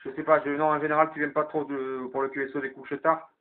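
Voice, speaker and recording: Man, slightly raised pitch, tinny CB transmission, chatty, explanatory, repeats key points. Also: little pitch movement, fast talker. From southwest France. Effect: CB radio transmission. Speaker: amateur CB radio operator running the evening round. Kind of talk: casual CB radio chat. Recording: narrow tinny CB radio signal.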